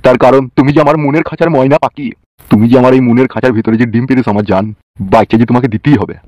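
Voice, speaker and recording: A man's voice: mid-range voice, fairly even intonation, racing, darkish tone, no echo, highs cut off, natural voice. A man playing a scheming, lovestruck crooked uncle in comic cartoon dialogue, melodramatic, coarse and boastful. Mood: pleading, sweet-talking.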